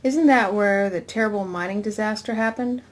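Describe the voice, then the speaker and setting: A woman's voice: mid-range voice, normal speed, close to an everyday voice. A woman reading the dialogue of an easygoing young 1960s commune woman in a dramatic novel reading. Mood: matter-of-fact.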